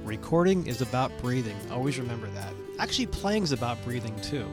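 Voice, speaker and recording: A man hosting a podcast, giving a relaxed scripted sign-off and plugs. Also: mid-range voice, a little fast. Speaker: podcast host. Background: guitar music.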